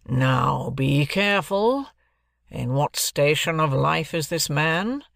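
A man's voice: a little high, animated, slow pace, creaky, a bit bright, no echo, studio quality, full character voice. A man voicing a stern, domineering middle-aged lawyer in an audiobook, blustering and imperious. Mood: irate, stern.

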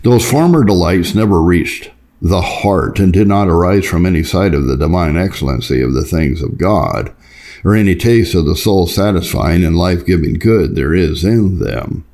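A man narrating an old devotional text as a scripted reading, in a measured, earnest and formal manner. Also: deep voice, darkish tone. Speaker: audiobook narrator.

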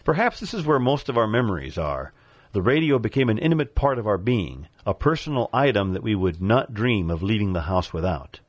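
A middle-aged man giving a scripted, nostalgic narration in measured, formal phrasing, as a contributor to a radio show. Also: lowish voice, sing-song, no room sound, lo-fi sound.

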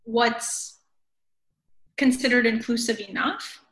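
A woman's voice: on the high side, slow pace, fairly bright tone. A woman chatting in a conversational, wry way as a guest on a casual podcast. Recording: echoey room.

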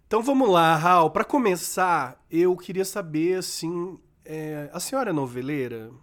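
Man, Brazilian, podcast co-host, camp, teasing, a little high-pitched. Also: expressive, normal speed, a bit bright. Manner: playful, camp, laughs often. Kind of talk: campy casual chat.

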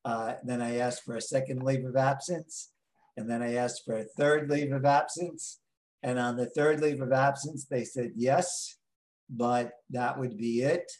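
A man in his late sixties with a New York accent, telling his story slowly as an interview guest, pausing on many ums. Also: medium pitch, fairly even intonation, a little mellow, echoey room, poor audio. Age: 60s. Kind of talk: interview answers.

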